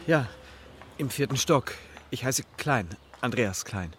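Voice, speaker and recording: A man's voice: medium pitch, animated, takes it slowly, a bit bright, breathy edge, heavily acted. An actor playing a meek, jobless violinist in a German radio play, hesitant and evasive as he answers. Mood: meek, resigned.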